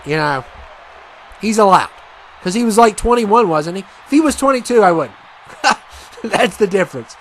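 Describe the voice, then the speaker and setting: A man casually commentating a wrestling video game match, rambling and chatty with many fillers, and he says he has a loud voice. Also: high voice, expressive, moderate pace, long pauses, slight background noise, rough recording. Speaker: gaming commentator.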